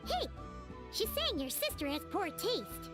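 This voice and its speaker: Tiny perky creature, extremely high squeaky voice, swooping pitch, cheeky, anime game, music behind. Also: female voice, very animated, a little slow, full character voice. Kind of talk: anime-style video game voice acting. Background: video game music.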